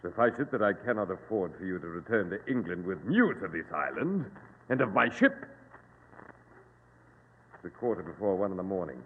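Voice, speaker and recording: An actor playing a menacing, boastful pirate captain in formal, grandiloquent old-time radio drama style. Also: male voice, sing-song, normal speed, long pauses, in a large hall. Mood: threatening. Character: menacing, boastful modern pirate captain holding captives at gunpoint. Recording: muffled, noisy old radio recording, boomy.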